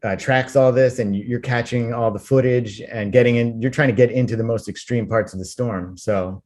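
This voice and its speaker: Podcast host, guy with a mid-pitched voice, trips over his words asking questions. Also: fairly even intonation, moderate pace, darkish tone. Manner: stumbling restarts, friendly. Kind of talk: casual interview questions.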